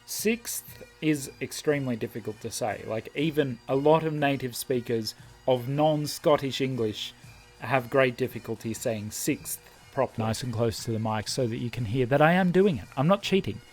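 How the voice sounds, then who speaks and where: A man's voice: medium pitch, normal speed, a little mellow, soft background music. A man vlogging as he reads passages from a book aloud, commenting in between and reacting with surprise and laughter. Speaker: language vlogger.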